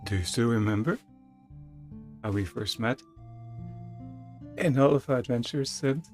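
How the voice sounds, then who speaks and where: A man voicing a wistful diary narrator in a dramatic reading of a text adventure, sentimental and measured. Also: mid-range voice, a little mellow, soft background music, natural voice. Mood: wistful, nostalgic.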